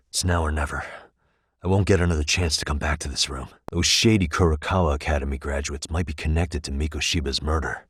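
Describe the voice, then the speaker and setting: A man acting a determined, streetwise private detective in a video game, with an American accent and a measured, probing, bluntly persuasive way of talking. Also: very deep voice, husky, dry recording, clean studio sound.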